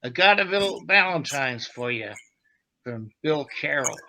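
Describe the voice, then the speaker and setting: Man acting tough old-time radio hoodlum, forceful tone, insinuating, menacing, faint noise in the recording. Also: a little high, animated, measured pace, heavily acted, rough recording. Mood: sly menace. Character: Stuart, menacing gangster henchman delivering threats for his boss.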